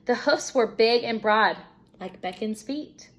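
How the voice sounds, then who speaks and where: A woman teacher reading a children's storybook aloud in an expressive narrating style. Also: a little high, unhurried.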